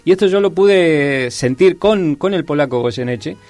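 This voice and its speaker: An interview guest, a man. He explains eagerly and warmly, and his voice sits slightly high for a man. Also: expressive, measured pace, no echo, faint music behind. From Argentina, San Luis and Córdoba. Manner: enthusiastic, explanatory. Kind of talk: conversational interview.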